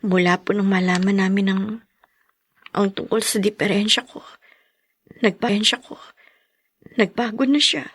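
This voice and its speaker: An actress playing a gentle, distraught young Filipino wife in a radio drama, tearful, halting and pleading. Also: female voice, medium pitch, breathy edge. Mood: worried, downcast.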